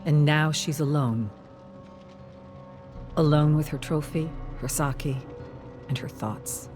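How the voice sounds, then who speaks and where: A narrator reads a dramatic story in a medium-pitched voice. The delivery is measured and wistful, with faint music underneath. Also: a little mellow.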